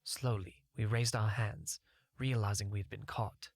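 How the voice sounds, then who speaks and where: A man's voice: lowish voice, fairly even intonation, measured pace, no room sound. Man narrating a story, dry close sound. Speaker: audiobook narrator. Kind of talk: scripted first-person story narration.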